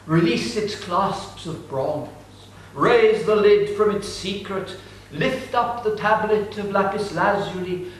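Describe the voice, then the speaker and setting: A man reciting an ancient epic in a slow, grave, declaiming way. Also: high-pitched, recorded from a distance. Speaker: reader. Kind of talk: dramatic reading of an ancient epic. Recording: echoey room, voice set back from mic with faint noise.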